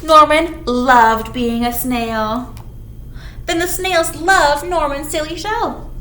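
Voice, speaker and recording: A high-voiced woman reads to small children at an unhurried pace. She is playful and exaggerated, chatting to her young audience between lines of the story. The recording is roomy and echoey, with some background noise. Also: a bit bright. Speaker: children's librarian leading storytime. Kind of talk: animated storytime read-aloud with asides to young children.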